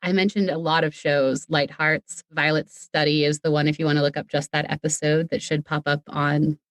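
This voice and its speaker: A woman's voice: sits low, little pitch movement, moderate pace, no echo. A woman chatting casually as a podcast guest, playful and self-deprecating, wandering off into wordy asides. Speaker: podcast guest, audio fiction creator.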